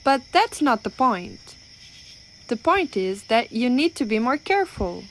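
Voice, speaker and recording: Woman acting apologetic clumsy giantess, fairly high voice, unhurried pace, rambling and reassuring, ASMR roleplay, faint crickets behind. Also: very animated, slight background noise. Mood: flustered scolding. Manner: hesitant, rambling, gently reassuring. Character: clumsy, well-meaning, apologetic young female giant.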